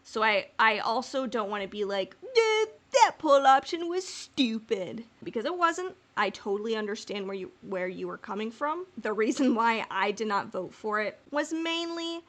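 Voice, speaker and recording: A woman's voice: a little high, big pitch swings, moderate pace, few pauses, a bit bright. A woman co-hosting a podcast in a casual fan discussion, talking in a playful, rambling, informal way. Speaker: podcast co-host.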